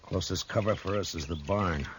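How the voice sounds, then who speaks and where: An actor playing a calm, steady frontier marshal who also narrates, in a 1950s radio drama. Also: male voice, low voice, background noise, natural voice. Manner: calm, firm, measured. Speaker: narrator and lead. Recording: old radio broadcast with music bed.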